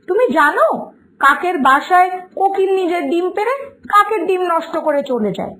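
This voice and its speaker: A woman's voice: very high-pitched, a bit bright, slight background noise, low-quality recording. A woman voicing a sensible, outspoken young daughter in a cartoon moral tale, lecturing earnestly. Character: sensible, outspoken young daughter arguing patiently against superstition. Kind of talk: Bengali moral-story cartoon dialogue. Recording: music behind the voice.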